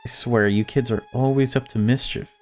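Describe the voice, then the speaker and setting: A man's voice: mid-range voice, fairly even intonation, moderate pace, faint music behind, close to an everyday voice. A man voicing a fretful mother in a visual novel, prim and chatty, with insistently upbeat small talk. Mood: forced cheer. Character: fretful, forcedly cheerful mother of a family.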